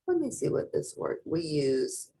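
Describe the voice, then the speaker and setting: Woman doing storytime, super animated, takes her time, really emphatic. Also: low-pitched, gravelly, husky. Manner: deliberate, emphatic storytelling with spoken asides to listeners. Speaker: children's story reader. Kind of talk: expressive picture book read-aloud.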